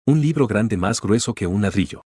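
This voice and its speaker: A man narrating a comic story in a flat, even read that treats quoted lines the same as the narration. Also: lowish voice, normal speed, slightly rough, dry recording, clean studio sound.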